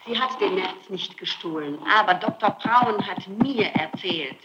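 A woman's voice: mid-range voice, expressive, unhurried, dull audio, very noisy. A middle-aged woman answering interrogation questions, insistent and defensive, repeating her denials, on an old 1960s archival tape. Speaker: suspect being interrogated.

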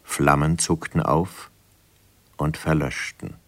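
A man narrating a radio drama as a storyteller, measured and suspenseful. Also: a bass voice, little pitch movement, fairly bright tone, full character voice.

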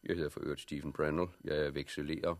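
A man's voice: extremely low pitch, level tone, no echo. A man acting in a 1960s radio drama as a composed, courteous stockbroker who has survived a catastrophe. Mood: civil, composed. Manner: polite, orderly, explanatory.

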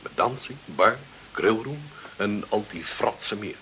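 A man's voice: fairly low pitch, expressive, measured pace, bright, forceful tone, exaggerated voice. An actor in a radio play as an obsequious, efficient male deputy who speaks dutifully to his superior. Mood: eager, informing. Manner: deferential, dutiful, agreeing. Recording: dull, hissy old radio play recording.

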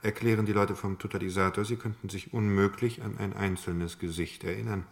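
Actor as sober low-voiced defence lawyer. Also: male voice, steady tone, close to an everyday voice. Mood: dry, frank. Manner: measured, matter-of-fact, questioning. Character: sober, pragmatic male defence lawyer, frank and probing. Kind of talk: German crime radio play acting.

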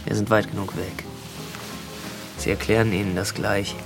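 An actor playing an uneasy, reluctant male aid worker in a naturalistic radio play, halting and repeating himself. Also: fairly low pitch, little pitch movement, over music, lightly acted. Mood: tense. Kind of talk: naturalistic German radio drama dialogue.

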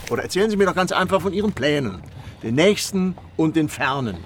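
Casting: man playing a curious, genteel traveller for radio drama, animated and theatrical, somewhat high voice. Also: fast, close to an everyday voice. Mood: eager, jovial. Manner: theatrical, jovial, chuckling. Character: genteel, curious, chatty gentleman traveller, master of coach. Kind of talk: period radio play dialogue. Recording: noisy moving-coach sound effects.

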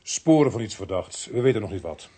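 Husky, weary police inspector. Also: male voice, lowish voice, animated, brisk, dark tone. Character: weary, patient, polite male police inspector. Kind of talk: radio play acting.

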